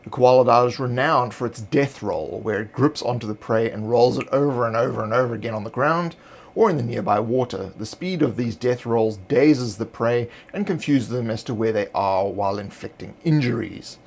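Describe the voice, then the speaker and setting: A man reading scripted descriptive narration for a fantasy roleplaying guide in a measured, explanatory way. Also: medium pitch, gravelly.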